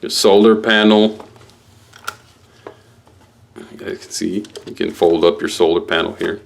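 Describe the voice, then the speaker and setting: Slow, low-voiced man reviewing gear. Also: fairly even intonation, creaky. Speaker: product reviewer. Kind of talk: casual unboxing walkthrough.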